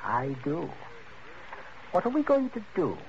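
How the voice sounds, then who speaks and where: A man's voice: on the high side, huge pitch swings, unhurried, exaggerated voice. An actor playing a cold, commanding father in a 1940s radio suspense play, speaking formally with controlled menace. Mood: calm menace. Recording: muffled, noisy old radio recording.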